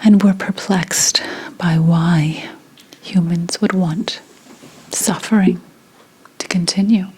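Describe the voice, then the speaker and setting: A woman playing a reincarnated seventeenth-century witch in an ASMR roleplay, telling her story in a hushed, deliberate, mournful whisper. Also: on the low side, steady tone, breathy edge, exaggerated voice. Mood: mournful, secretive.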